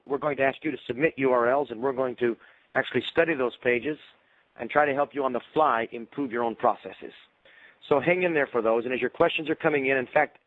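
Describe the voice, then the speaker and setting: A man's voice: mid-range voice, no room sound. Man losing his voice on a phone line. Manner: measured, explanatory. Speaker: webinar presenter. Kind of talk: marketing research webinar presentation. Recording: tinny phone-line audio.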